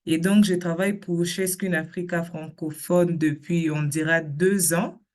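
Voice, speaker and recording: A woman's voice: fairly low pitch, steady tone, a little slow. Polite woman technician. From Democratic Republic of the Congo. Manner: polite, formal, slightly hesitant. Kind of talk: brief self-introduction.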